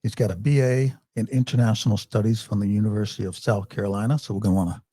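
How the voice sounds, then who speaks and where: Brief: male podcast host, lowish husky voice, mellow, upbeat, dry studio sound. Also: fairly even intonation, fast, no echo. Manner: upbeat, reads bio notes with fillers. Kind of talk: podcast interview hosting.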